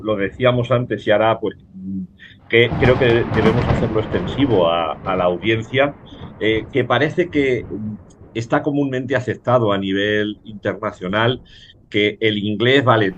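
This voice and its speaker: A man from Spain with a Castilian Spanish accent, a guest professor in a relaxed academic conversation, speaking courteously and carefully with hesitant fillers. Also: on the low side, highs cut off, background noise.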